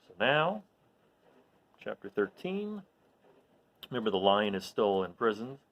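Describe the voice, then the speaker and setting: A man reading a children's story aloud expressively, in an animated way that addresses his listeners. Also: lowish voice, a little slow. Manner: animated, recapping with questions to listeners.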